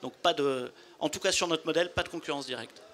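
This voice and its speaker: Brief: founder, 40s, man, slightly high voice, calm explainer, handheld mic. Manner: measured, explanatory, businesslike. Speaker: company founder. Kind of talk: trade fair interview. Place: trade fair studio booth.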